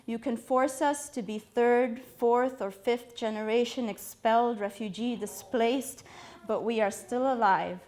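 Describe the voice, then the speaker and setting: A woman performing a spoken word poem with an impassioned, rhythmic and emphatic delivery. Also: a little high.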